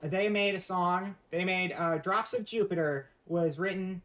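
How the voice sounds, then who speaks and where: A woman's voice: fairly low pitch, unhurried, fairly bright tone. A woman streamer giving a casual live-stream presentation, rambling and joking in a playfully mock-serious way.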